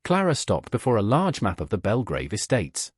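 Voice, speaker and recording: A man reading historical romance fiction as a measured, formal audiobook narrator. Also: fairly low pitch, huge pitch swings, husky, dry recording, clean studio sound.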